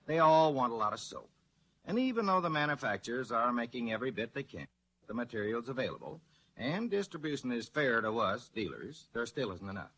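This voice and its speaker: A man's voice: medium pitch, sing-song, a little fast, a bit bright, husky, full character voice, rough recording. A man acting a patient, explanatory grocer in a 1940s radio advertisement. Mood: earnest.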